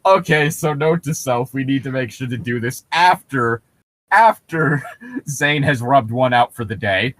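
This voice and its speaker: A man's voice: mid-range voice, expressive, measured pace, fairly bright tone, dry recording. A man hosting a comedic podcast in casual banter, swearing and sounding jokingly exasperated. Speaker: podcast host.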